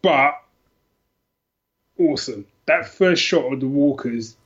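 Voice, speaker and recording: A man's voice: medium pitch, slow pace, breathy edge, highs cut off. A middle-aged man chatting casually as a podcast guest, enthusiastic and rambling, often repeating himself. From London, England. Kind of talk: casual chat, telling personal stories.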